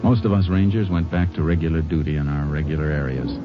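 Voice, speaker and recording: An actor playing a steady Texas Ranger detective in a 1950s radio drama, clipped and matter-of-fact. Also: male voice, extremely low pitch, fast. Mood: grave. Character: steady, businesslike Texas Ranger detective narrating his case. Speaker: lead actor and narrator. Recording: dull old radio broadcast with music.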